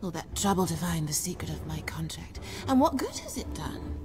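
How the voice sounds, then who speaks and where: An actress playing a gloating, imperious witch in a radio drama, with breathy, theatrical delivery that often drops to a whisper. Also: lowish voice, sing-song. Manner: slow, theatrical, drawn-out delivery. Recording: music under the dialogue.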